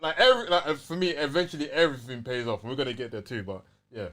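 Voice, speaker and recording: A man's voice: mid-range voice, fast, a bit bright. A man with a London accent co-hosting a casual game review chat, slangy and given to acting out his reactions. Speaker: podcast co-host.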